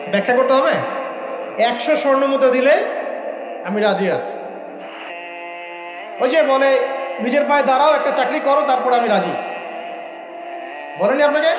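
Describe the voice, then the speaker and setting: A man preaches in a strikingly high-pitched voice, reverberant and set over clear background music. He is an emphatic Islamic preacher who tells stories, repeats key phrases and fires rhetorical questions at his listeners. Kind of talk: sermon with storytelling and questions to listeners. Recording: echoing voice with music laid under.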